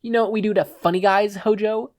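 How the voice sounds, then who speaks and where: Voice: mid-range voice, fairly even intonation, quick pace, dry recording, clean studio sound, voice pushed up, natural voice. A narrator voicing a menacing, mocking male yakuza thug with a taunting, folksy tough-guy manner. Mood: mocking, frustrated.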